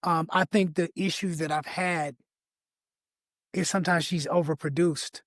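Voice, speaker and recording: A man with a raspy voice, a singer guest on a podcast, telling stories and praising artists with emphatic, effusive energy. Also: on the high side, fairly even intonation, measured pace, dry recording, clean studio sound. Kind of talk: casual podcast conversation.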